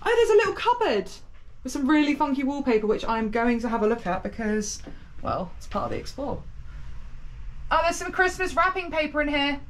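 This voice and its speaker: Excitable young British woman exploring. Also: high-pitched, a little fast, low-quality recording. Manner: excitable, chatty, exclaims often. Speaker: urban explorer host. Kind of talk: casual exploring vlog chat. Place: abandoned house indoors.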